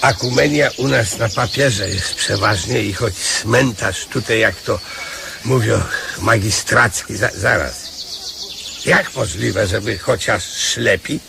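An actor playing a pushy, wily beggar on crutches in a radio play, arguing in rustic, halting speech with an eastern Polish borderland accent. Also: male voice, fairly low pitch, creaky, noisy background, heavily acted. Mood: arguing, reasoning. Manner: argumentative, rustic, colloquial, halting repetitions.

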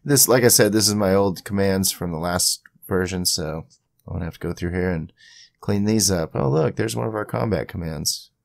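A man with a low, gravelly voice hosts a casual software tutorial. He talks informally and rambles a bit, thinking aloud as he works through each step on screen. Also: normal speed, no echo, studio quality. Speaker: tutorial host.

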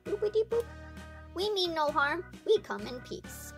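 A woman's voice: extremely high pitch, expressive, unhurried, faint music behind. A woman voicing a small, chirpy toy alien that chants in beeping nonsense syllables for children. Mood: peaceful.

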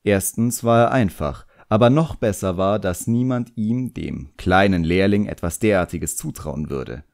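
A man's voice: low voice, unhurried, gravelly, a little mellow. A man narrating a fantasy novel as a scripted audiobook, in a storytelling style.

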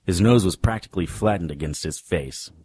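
Man playing a husky, low-voiced hardboiled detective. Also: fairly quick. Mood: grim, wry. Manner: wry, deadpan. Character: hardboiled, wisecracking male supernatural private investigator. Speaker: narrator and lead. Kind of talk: noir radio drama first-person narration.

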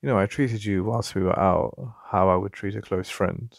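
A man with an English accent playing a jealous, possessive yet vulnerable boyfriend in an intimate ASMR roleplay, halting and conversational with a gravelly voice. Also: low voice, fairly even intonation, no echo, studio quality. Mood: annoyed, defensive. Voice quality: gravelly, creaky. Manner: halting, repeating himself, conversational. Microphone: close, dry studio-style mic.

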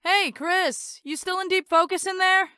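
A woman's voice: extremely high pitch, fairly even intonation, normal speed, sharp tone, dry recording, clean studio sound. A woman voicing a considerate, easygoing young female friend in a sci-fi visual novel. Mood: calling out.

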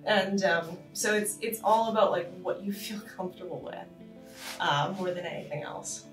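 A young woman from Boston on a casual podcast, animated and laughing often as she talks. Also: fairly low pitch, moderate pace, soft background music. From Boston, USA. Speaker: podcast co-host. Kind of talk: casual chat. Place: conference room, indoors. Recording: roomy sound from a distant mic.

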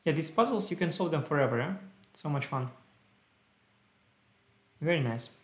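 A man's voice: on the high side, expressive, moderate pace, roomy sound. A young man with a Russian-tinged English accent, chatting casually on a live stream and thinking aloud in short clipped phrases. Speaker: chess streamer. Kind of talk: casual live-stream chat while solving puzzles. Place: small room indoors.

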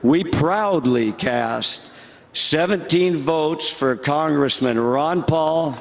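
A man's voice: on the high side, takes it slowly, vocal fry. A man announcing his delegation's votes in a proud, declamatory roll-call speech. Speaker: state delegation spokesman. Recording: convention floor crowd.

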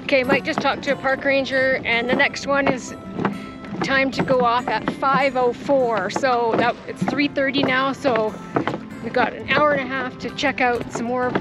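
A woman vlogging outdoors on a trip, chatting casually and excitedly with little laughs between phrases. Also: high-pitched, normal speed, loud music behind the voice, low-quality recording. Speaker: travel vlogger. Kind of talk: casual vlog chat. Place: outdoors at a national park.

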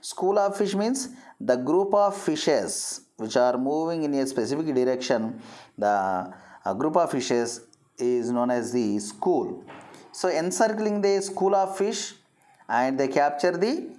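A woman's voice: low-pitched, lively intonation, slow pace, dark tone. A woman lecturing as a zoology teacher, explaining in a didactic way and restating her phrases.

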